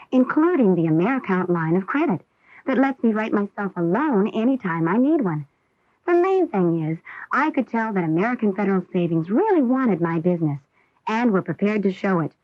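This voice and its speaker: A woman's voice: medium pitch, dry recording. Woman reading a dry-sounding radio testimonial ad. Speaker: radio commercial testimonial speaker. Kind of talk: scripted conversational ad read. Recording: dull AM radio broadcast tape.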